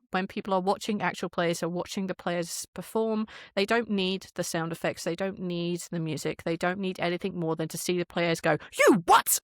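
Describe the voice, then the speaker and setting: A woman's voice: medium pitch, a bit bright, no room sound, clean studio sound. A woman speaking as an interview guest, casual and opinionated, with an emphatic, informal manner and rhetorical questions. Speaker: podcast guest, audio drama creator.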